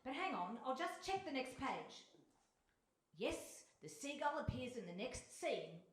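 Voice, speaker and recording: A woman plays a radio play narrator on stage. Her voice is high and lilts widely, yet her wit is dry and matter-of-fact. It sounds very echoey. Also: big pitch swings, a little slow. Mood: dry, unhelpful. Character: dry, matter-of-fact storyteller bantering with characters. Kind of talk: live radio theatre narration. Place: on stage in a hall before an audience. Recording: very echoey hall, distant mic, noise.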